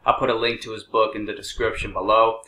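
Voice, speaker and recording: A man's voice: fairly low pitch, little pitch movement, fast talker, sharp tone. A man presenting a video with scripted promotional narration. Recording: echoey room.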